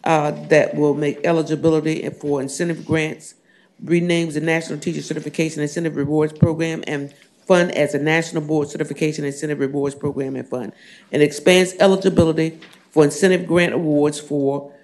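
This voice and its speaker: A hoarse man with a scratchy voice, a state senator formally presenting bills in a committee room, reading the text at length with many fillers. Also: a little high, a little mellow. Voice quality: scratchy, gravelly. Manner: reads bill text at length with frequent fillers. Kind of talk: formal legislative bill presentation.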